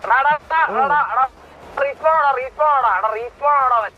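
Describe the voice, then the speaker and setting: A man in excited, casual game chat. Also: extremely high pitch, moderate pace. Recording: dull, noisy game voice chat.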